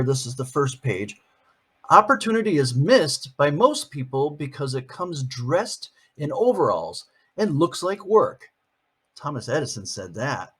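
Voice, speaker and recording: A man's voice: mid-range voice, husky. An older man, a grandfather, reading a picture book aloud on video to his grandkids, playful and chatty, asking them questions as he goes.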